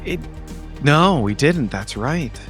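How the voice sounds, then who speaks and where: A man's voice: a little high, expressive, takes it slowly, a bit bright. A man recapping a tabletop game in a casual, comedic way, with a droll, wordy delivery. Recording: music under the voice.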